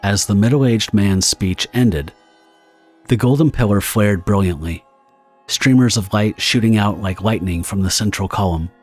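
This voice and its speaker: A man's voice: lowish voice, unhurried, faint music behind. A man narrating a dramatic story from a script.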